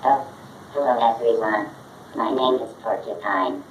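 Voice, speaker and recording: Polite robot helper, synthetic male, mid pitch, heard from a distance through a device with faint noise. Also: measured pace, reverberant. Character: polite, helpful homemade robot assistant. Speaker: robot voice assistant. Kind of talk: synthetic assistant announcements.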